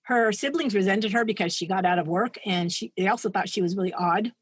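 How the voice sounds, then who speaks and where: A woman's voice: mid-range voice, fairly quick, breathy edge, no echo. A woman co-hosting a podcast, retelling a true-crime story in a chatty, digressive way and laughing at her own asides. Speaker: podcast co-host.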